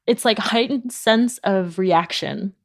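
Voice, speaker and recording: A woman's voice: medium pitch, takes it slowly, no room sound, clean studio sound. A woman speaking casually as an interview guest, explaining things informally and sprinkling in "like". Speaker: podcast company founder, guest.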